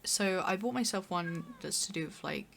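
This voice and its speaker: A young woman with a hoarse, raspy voice, chatting casually and rambling while streaming a game. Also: on the low side, animated, normal speed, fairly bright tone, slight background noise. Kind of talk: casual chat while gaming.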